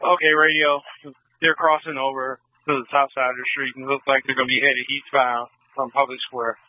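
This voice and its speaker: A man's voice: medium pitch, little pitch movement, moderate pace, no echo, narrow, tinny audio. A man, a police officer in the field, giving a running report over a radio. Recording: thin, band-limited police scanner feed.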